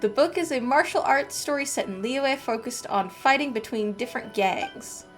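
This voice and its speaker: A woman's voice: sits high, normal speed, soft background music. A woman game streamer reading story text aloud and commenting in a casual, agreeable way during a live playthrough.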